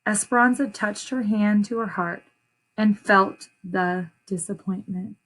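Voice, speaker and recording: A woman reading a children's story aloud as an educator, pausing to ask questions and explain things to young listeners. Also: mid-range voice, a bit bright, poor audio.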